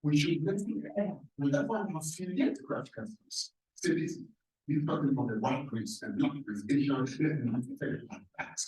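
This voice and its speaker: A man from Cameroon speaks on a panel, pressing his points with emphatic rhetorical questions. His voice sits a little high. He is picked up from some distance in a roomy, echoing hall. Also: a little fast, darkish tone. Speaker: professor on a panel. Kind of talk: panel self-introduction. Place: large echoey hall.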